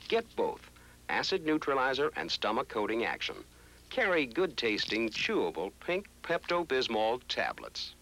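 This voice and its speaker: A man's voice: medium pitch, big pitch swings, moderate pace, a bit bright, a little hiss or hum, rough recording. A man reading a scripted 1960s television commercial as its announcer, on old broadcast audio.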